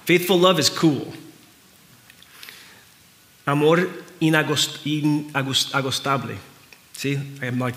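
A middle-aged man whose first language is Spanish, preaching a conversational sermon in an earnest, informal way with halting pauses and repeats. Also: medium pitch, pushed and bright. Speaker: pastor preaching. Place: church hall with stage, indoors, before a congregation.

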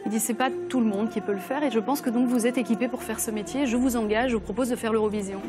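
A woman's voice: fairly high pitch, over music. A woman in her thirties from Belgium, a native Italian speaker, chatting as a guest on a television talk show in a studio. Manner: quick, warm and animated storytelling. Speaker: guest singer. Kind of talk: casual talk show chat. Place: TV studio set at a dinner table.

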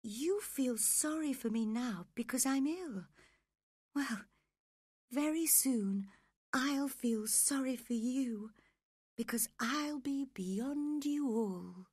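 A woman voicing a frail, dying young wife, passionate and desperate, with breathy, pleading drama, in a storybook reading. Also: high voice, expressive, slow pace, darkish tone, no room sound, studio quality, pitched above the performer's own voice, heavily acted. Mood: feverish longing.

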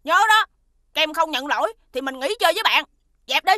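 A woman voicing a mischievous, feisty but loyal young schoolboy in an animated children's cartoon style. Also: high voice, brisk, no echo, studio quality. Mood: indignant. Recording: music behind the voice.

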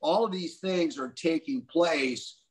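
A man's voice: fairly high pitch, slow pace. Older man musing over a video call. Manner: thoughtful, musing, many restarts. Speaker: co-host discussing Bible prophecy. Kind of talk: casual video call discussion. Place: home room indoors. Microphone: video call webcam.

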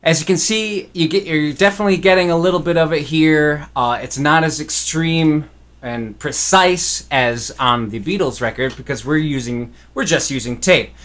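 A man's voice: fairly high pitch, moderate pace, a bit bright. A young man giving a casual, conversational tutorial in a laid-back way, recorded in a small home studio. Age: young adult. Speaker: musician hosting a recording tutorial. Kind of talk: casual instructional talk to camera.

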